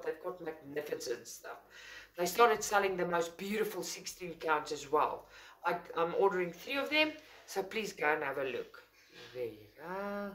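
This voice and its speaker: A woman's voice: mid-range voice, fairly bright tone. Chatty South African woman unboxing crafts. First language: Afrikaans. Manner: chatty, enthusiastic, rambling with self-corrections. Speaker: craft channel host. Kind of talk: casual unboxing talk to camera. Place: indoors at a table, filming overhead.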